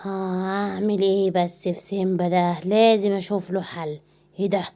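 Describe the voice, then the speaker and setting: A woman's voice: medium pitch, level tone, brisk, dark tone, close to an everyday voice. An Egyptian woman voicing a young male lion cub turned mean bully who threatens and brags in a cartoon. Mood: menacing. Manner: boastful, threatening.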